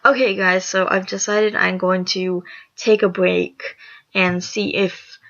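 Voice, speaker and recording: Raspy, gravelly host reading reviews aloud. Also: medium pitch, level tone, slow, fairly bright tone, lo-fi sound. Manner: reads with small stumbles and self-corrections. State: hoarse after just waking up. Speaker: channel host.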